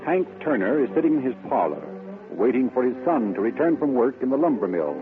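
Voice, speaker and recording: A man's voice: mid-range voice, big pitch swings, brisk. A man announcing and narrating a radio drama in scripted 1930s broadcast style. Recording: tinny vintage radio recording, music behind. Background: music.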